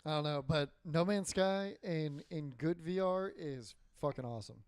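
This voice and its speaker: A man co-hosting a casual gaming podcast, his voice hoarse and dry, sitting a little higher than most men's. He rambles through lots of fillers and swearing. The sound is dry and close, with no room in it. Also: normal speed, no room sound. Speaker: podcast co-host.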